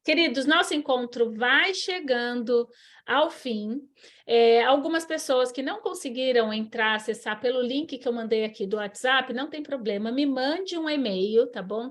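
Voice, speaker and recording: A woman with a Brazilian Portuguese accent hosting an online church health class, talking in an encouraging, preacherly way. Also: fairly high pitch. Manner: warm encouraging preacherly, addresses listeners as queridos. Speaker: host.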